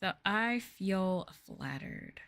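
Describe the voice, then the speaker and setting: A woman's voice: medium pitch, animated, very slow, creaky, fairly bright tone. A woman streaming as a VTuber, chatting casually in a rambling, giggly way with lots of filler words. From United States. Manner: giggly and playful.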